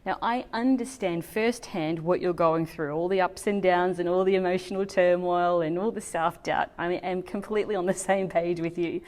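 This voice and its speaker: A woman's voice: lowish voice, steady tone, moderate pace, hardly any pauses, a little mellow. A woman who is a native English speaker, teaching as a non-native language teacher in an emphatic, scripted lesson delivered to viewers.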